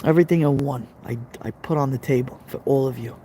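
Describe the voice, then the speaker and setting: Mellow-voiced Brooklyn man chatting live. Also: medium pitch, fast, slight background noise. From Brooklyn, New York. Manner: chatty, repeats phrases, talks straight to viewers. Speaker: live stream host. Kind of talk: casual live stream chat reading comments.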